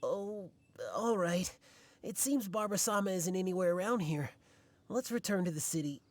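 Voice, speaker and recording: A man playing an earnest, devoted young fan in an anime video game, excitable and hesitant. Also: high voice, normal speed, slight background noise, lightly acted. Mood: weary, earnest. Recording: game music behind.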